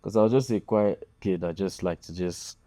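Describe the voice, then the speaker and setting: A man's voice: sits low, moderate pace, dark tone, dry recording. A young man from Nigeria, a musician being interviewed, talking casually and hesitantly with many fillers. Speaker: guest musician.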